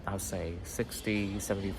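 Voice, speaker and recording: A man's voice: sits low, fairly even intonation, moderate pace, background noise. A young man whose first language is Vietnamese, hosting a podcast and talking casually through a motivational story, full of fillers and "right?" tag questions. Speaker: podcast host. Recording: background music under voice. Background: music.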